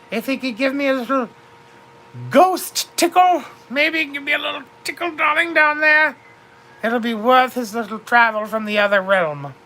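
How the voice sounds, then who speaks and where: A woman's voice: a little high, sharp tone, a little hiss or hum, low-quality recording. A woman telling a comic story in a theatrical, grandly confiding way, calling her listeners darlings.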